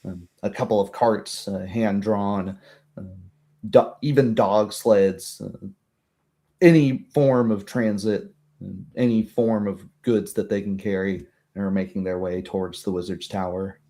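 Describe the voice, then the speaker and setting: A man with a lowish voice runs a tabletop roleplaying game. He improvises descriptive narration, building each scene piece by piece in halting phrases. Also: steady tone, dark tone. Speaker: game master.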